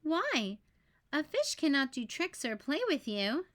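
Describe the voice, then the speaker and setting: A cautious, patient parent from a picture book, read aloud by a woman. The voice is fairly high and very unhurried, measured and often questioning. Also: very animated, fairly bright tone, exaggerated voice. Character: cautious, patient storybook parents of a young child.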